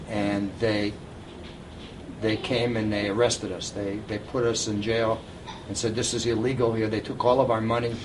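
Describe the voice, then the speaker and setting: A man's voice: on the low side, distant mic, noisy background. An older man telling informal anecdotes to a live audience, recorded at an event. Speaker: guest storyteller at a reunion event.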